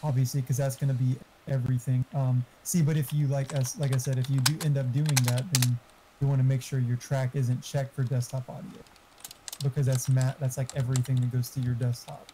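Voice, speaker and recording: A man's voice: medium pitch, flat delivery, fairly quick, background noise. A man talking casually and informally, explaining technical things to a friend over online voice chat. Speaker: friend giving streaming tech advice.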